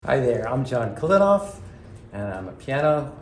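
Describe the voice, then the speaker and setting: A man's voice: mid-range voice, sing-song, moderate pace. A man who works as a piano teacher, briefly introducing himself in an echoey, slightly noisy recording. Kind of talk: brief spoken self-introduction. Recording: roomy echo with background noise.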